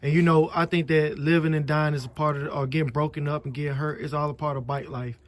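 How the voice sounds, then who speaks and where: A man's voice: fairly high pitch, level tone, quick pace. An American man hosting a vlog, chatting casually in a slangy street style. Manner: slangy, street-talk delivery. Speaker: vlog host. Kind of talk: casual chat. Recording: clearly noisy background.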